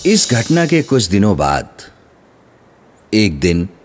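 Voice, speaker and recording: A man narrating a scripted children's moral story in an expressive storytelling manner. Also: on the low side, slow pace. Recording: music under the voice.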